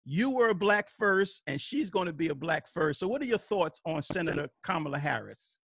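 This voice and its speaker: A man's voice: fairly high pitch, lively intonation, moderate pace, creaky, a bit bright, no room sound. A male podcast host introducing a guest and asking questions in a welcoming way, with frequent fillers. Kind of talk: interview conversation.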